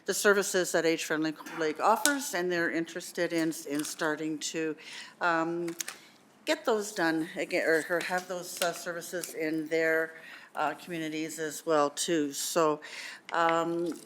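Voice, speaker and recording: Low-voiced woman presenting to council. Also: fairly even intonation, measured pace, a bit bright, slight background noise. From Canada. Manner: earnest, many "um" fillers, partly reading aloud. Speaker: delegate for a seniors' home support organization. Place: council chamber. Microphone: desk microphone.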